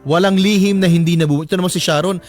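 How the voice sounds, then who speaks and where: A man's voice: sits high, steady tone, fast talker, darkish tone, soft background music. A man with a Filipino accent hosting a casual radio advice show with joking banter. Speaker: radio host.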